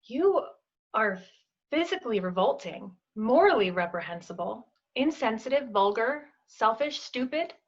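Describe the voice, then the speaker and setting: An actress playing a prim, scornful woman with a precise, deliberate, cutting delivery in a theatrical monologue. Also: fairly high pitch, big pitch swings, lo-fi sound. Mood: withering disgust. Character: prim, scornful woman bluntly rejecting a suitor.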